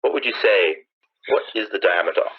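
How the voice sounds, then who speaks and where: A man's voice: lowish voice, lively intonation, fairly quick, breathy edge. A man playing an urgent, awed on-scene news commentator in a 1930s-style radio drama, searching for words as they describe things. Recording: dull lo-fi old radio sound.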